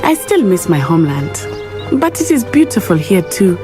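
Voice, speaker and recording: A woman's voice: on the low side, very animated, normal speed, over music. A woman voicing a friendly, adventurous teenage schoolgirl witch in a video game, speaking in careful, formal phrasing. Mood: warm, reflective. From grew up abroad, in a land without snow.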